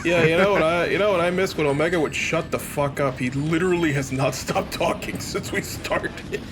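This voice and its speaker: A man's voice: on the high side, moderate pace, noisy background. A man giving casual, joking gaming commentary in a sarcastic, sweary way. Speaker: let's play co-host. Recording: voice over loud game music. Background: game music and sound effects.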